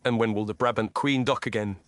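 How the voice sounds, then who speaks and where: A man voicing a coolly commanding gentleman detective in an audio story, formal, clipped and incisive. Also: on the low side, steady tone, a little fast, no room sound, lightly acted. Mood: cold, pressing.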